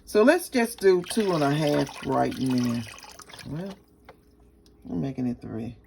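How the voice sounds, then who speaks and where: A woman with a raspy voice, chatting casually to her viewers in a home kitchen cooking vlog. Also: low-pitched, animated, slow pace, noisy background. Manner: chatty, talks straight to viewers. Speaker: cooking vlogger.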